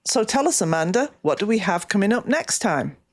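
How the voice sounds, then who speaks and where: A woman's voice: mid-range voice, sing-song, brisk, dry recording, studio quality. A woman co-hosting a podcast outro in a casual, playful, informal way. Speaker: podcast co-host.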